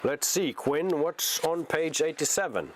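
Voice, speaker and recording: A man's voice: on the high side, lively intonation, unhurried, background noise. A young man from Norway with a Norwegian accent and Norwegian as first language, reading a novel aloud on a livestream and chatting, often restarting his words. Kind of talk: live reading and casual chat.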